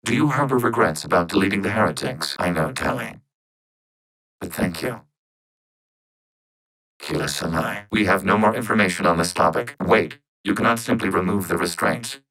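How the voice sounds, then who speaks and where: Game robot, lowish voice, synthetic processing, calm, logical, formal, precise measured delivery. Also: male voice, a little hiss or hum, rough recording. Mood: earnest, subdued. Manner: measured, formal, literal, clipped phrasing. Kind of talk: video game character dialogue.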